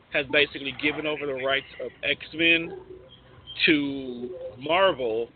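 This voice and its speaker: A man hosting a casual radio show, explaining things in slangy, emphatic phrasing. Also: medium pitch. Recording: tinny phone-like line, faint noise.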